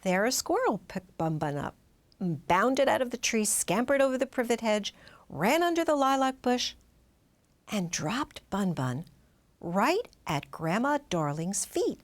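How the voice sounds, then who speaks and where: A woman reading a children's storybook aloud in expressive, dramatic narration, drawing out some words. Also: a little high, measured pace. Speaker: storybook reader.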